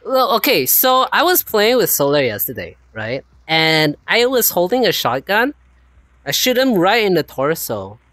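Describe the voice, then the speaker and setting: A male streamer with a light non-native accent rambles in casual chat. His voice leaps high and drops low. The sound is dry, without room echo. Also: moderate pace. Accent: non-native, unnamed. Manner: animated, rambling, laughs often. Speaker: streamer host.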